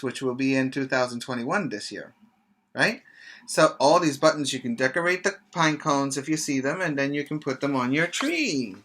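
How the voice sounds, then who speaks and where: A man's voice: medium pitch, pushed and bright. A middle-aged man, a preschool teacher, reading a children's book aloud and explaining it to young children in an instructive way with check-in questions.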